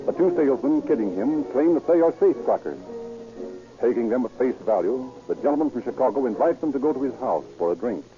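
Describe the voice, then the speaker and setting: A man's voice: mid-range voice, lively intonation, brisk, over music. A man narrating a vintage radio crime drama in a solemn, florid, scripted style. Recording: muffled old radio recording.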